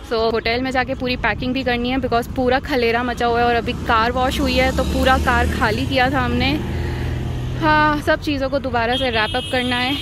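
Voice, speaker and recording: A woman travel vlogger chatting candidly and teasingly on a road trip, mixing Hindi and English. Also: high-pitched. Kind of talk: casual vlog chat mixing Hindi and English. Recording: noisy outdoor ambience.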